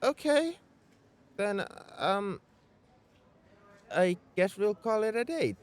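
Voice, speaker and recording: A man's voice: high-pitched, very animated, takes it slowly, clean tone, heavily acted. A man playing a young tsundere spaceship mech engineer in an audio roleplay, defensive about his feelings as he speaks straight to the listener. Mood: shyly pleased. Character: gruff-caring tsundere male mech engineer, young adult. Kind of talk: ASMR roleplay acting, addressed to the listener.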